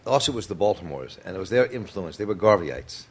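A male interviewer speaks fast in a low voice that rises and falls widely. He nudges his guest along with quick, unfinished prompts, and faint noise sits underneath. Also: very animated, breathy edge. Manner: prompting, quick, trailing half-sentences.

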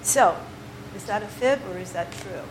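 A woman's voice: medium pitch, normal speed. A woman leading a poetry workshop, talking informally and digressively to the group, with many fillers and restarts. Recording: noisy room recording.

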